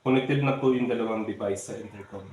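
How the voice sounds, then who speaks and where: A man's voice: on the low side, a little fast, a little mellow. A man with a Filipino accent giving a casual step-by-step product tutorial, often addressing his viewers. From Philippines. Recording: very echoey room, faint background noise.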